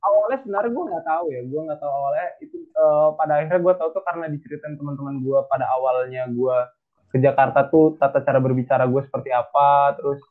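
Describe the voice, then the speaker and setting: A young man from North Sumatra answers interview questions in a casual, rambling way, full of fillers and restarts. His voice sits a little high for a man. The sound is thin and tinny, like a phone line. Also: quick pace. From North Sumatra, Indonesia. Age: young adult.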